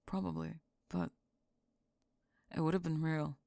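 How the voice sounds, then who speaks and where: A woman acting a guarded young goth in an intimate audio roleplay, speaking in a halting, dry, understated way with tenderness underneath. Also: deep voice, steady tone, vocal fry, warm tone, no room sound, full character voice. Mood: resolute, wry.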